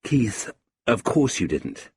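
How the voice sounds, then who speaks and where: A man voicing a bewildered, polite upper-class gentleman who speaks mildly and apologetically, with a husky voice. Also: on the low side, sing-song, slow, slight background noise, full character voice. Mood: puzzled. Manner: mild, apologetic and puzzled.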